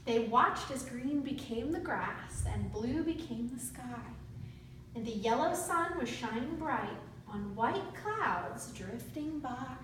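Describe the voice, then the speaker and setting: A woman's voice: a little high, animated, takes it slowly, a bit bright. A woman teaching a read-aloud lesson to young children, using simple instructional phrasing and prompting them with questions. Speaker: student teacher reading aloud to a class. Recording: very echoey room, distant mic, faint noise.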